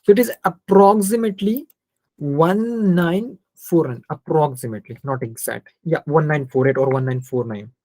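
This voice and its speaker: Male online maths tutor, Indian accent, relaxed mellow tone, close dry sound, code-switching, interactive step-by-step delivery. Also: a little high, normal speed, no room sound. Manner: code-switches between Hindi and English, checks in with students often. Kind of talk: interactive online lesson, working through problems aloud.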